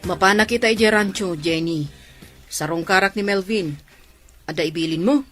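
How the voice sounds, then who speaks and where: A woman's voice: on the low side, moderate pace, fairly bright tone, soft background music, natural voice. A woman playing a frank, concerned friend in an audio drama, chatty and reproachful. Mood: friendly. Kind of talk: Ilocano audio drama dialogue.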